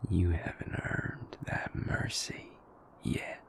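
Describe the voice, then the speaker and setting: A man voicing a sadistic, mocking captor who gloats over a fallen prince in an audio drama, in a slow, taunting whisper. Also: natural voice. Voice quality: creaky, whispery.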